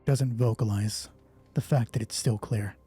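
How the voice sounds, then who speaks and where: A man hosting a solo roleplay game, narrating it dramatically and deliberately in short phrases. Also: fairly low pitch, steady tone, dark tone, husky, faint music behind.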